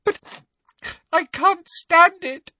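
A performer voicing a frantic, pleading teenage schoolgirl, shrill and cracked, urgent and tearful, in an audiobook story. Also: drawn-out words, sharp tone, no room sound, heavily acted. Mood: sobbing.

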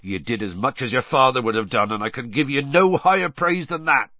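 A man voicing a big, gruff, hearty gamekeeper, fond and loyal, with colloquial clipped phrasing, in an audiobook. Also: mid-range voice, a little fast, no room sound, highs cut off, voice pushed up, close to an everyday voice. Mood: gruff resolve. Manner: gruff, colloquial, dropped words. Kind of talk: audiobook character voice.

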